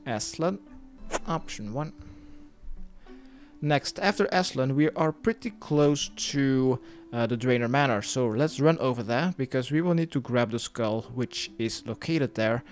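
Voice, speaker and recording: A man's voice: medium pitch, a little slow. A man narrating a step-by-step video game guide, giving plainly worded instructions as a tutorial voice-over. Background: music.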